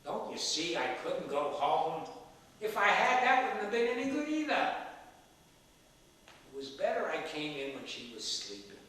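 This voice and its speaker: A man's voice: fairly high pitch, sing-song, normal speed, pushed and bright. Actor as roguish elderly father, echoey stage hall. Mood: defensive. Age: older. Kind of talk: stage play dialogue. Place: theatre stage, recorded from the audience area. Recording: echoey theatre hall, distant mic, faint noise.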